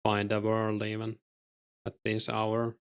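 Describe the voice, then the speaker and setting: Low, flat-voiced Finnish man, gravelly. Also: slow. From Finland. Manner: relaxed, reads and answers chat while playing, with fillers. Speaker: game streamer. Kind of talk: casual stream chat while gaming.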